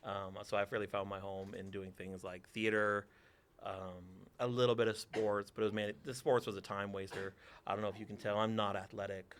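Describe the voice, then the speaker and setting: A man's voice: fairly low pitch, little pitch movement, a little fast, a little hiss or hum. A man in his forties from Texas, a theater teacher answering interview questions with dry, teasing humor.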